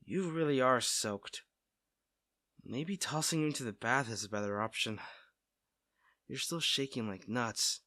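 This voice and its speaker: A man playing a caring, teasing, protective best friend in a soft-spoken, conversational roleplay audio drama. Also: medium pitch, a little slow, long pauses, no room sound. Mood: playful, caring. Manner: fussing, tender, conversational.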